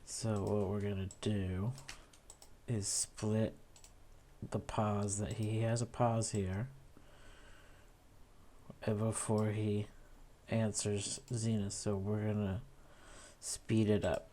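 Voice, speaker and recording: Slow, musing man, noisy desk recording. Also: lowish voice, little pitch movement, warm tone. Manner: musing, thinking aloud with tag questions. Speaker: video editor demonstrating an edit. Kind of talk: casual unscripted tutorial narration. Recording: clear background noise with keyboard clicks.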